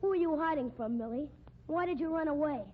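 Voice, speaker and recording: An actor playing a sneering, spiteful little circus midget with a small piping voice, pompous and taunting, in 1950s radio drama style. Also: male voice, very high voice, quick pace. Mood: sly, needling. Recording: muffled, noisy old radio recording.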